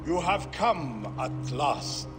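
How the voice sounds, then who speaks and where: Casting: ancient ritual seer for a game, very high for a man, slow, solemn, incantatory command. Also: very animated, over music, heavily acted. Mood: awed. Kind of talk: dramatic video game acting.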